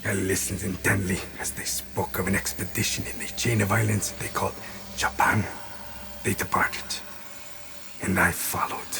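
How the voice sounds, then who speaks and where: An actor playing a weary, guilt-ridden but resolute veteran assassin, narrating his past in a solemn, measured video game voice-over. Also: low voice, level tone, vocal fry, over music. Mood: brooding, resolute. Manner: brooding, measured, literary.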